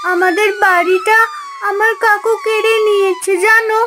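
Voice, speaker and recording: A woman's voice: extremely high pitch, fairly even intonation, normal speed, noisy background. A woman voicing a sweet, eager little child in a cartoon with a sing-song delivery. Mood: caring, pleading. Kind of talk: cartoon voice acting.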